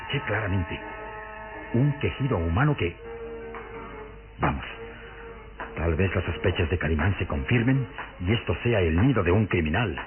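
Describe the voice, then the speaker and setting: A man playing a skeptical, gruff police inspector in a radio drama, questioning and thinking aloud in a deliberate way. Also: sits low, expressive, over music. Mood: startled, uneasy. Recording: muffled, noisy old radio drama recording.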